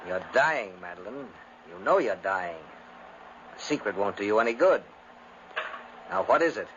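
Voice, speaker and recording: Actor as a volatile, menacing brother on muffled old radio. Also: male voice, fairly low pitch, expressive, normal speed, close to an everyday voice. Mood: menacing, insistent. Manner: insistent, threatening, theatrical. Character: menacing, obsessive, cruel aristocratic brother, highly strung. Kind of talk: 1950s radio drama acting. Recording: muffled, noisy 1950s radio recording.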